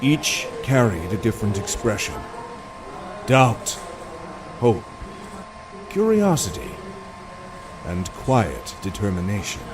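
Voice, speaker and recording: A man's voice: fairly low pitch, very animated, background noise. Guy narrating an audio drama, takes his time, voice swings around a lot, bit far off. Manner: measured, suspenseful storytelling.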